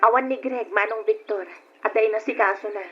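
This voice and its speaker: A woman's voice: fairly high pitch, steady tone, fairly quick, dull audio, loud music behind the voice, close to an everyday voice. A woman acting in an old radio drama as a suspicious, protective wife, pointed and reproachful. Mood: pointed, wary. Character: suspicious, protective wife wary of freeloading relatives.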